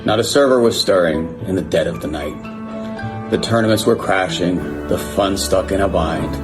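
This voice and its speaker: Man reciting rhyming verse over loud music. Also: sits low, moderate pace. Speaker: company executive. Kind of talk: reciting a rhyming Christmas poem. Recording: played video clip with loud music. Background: loud guitar music.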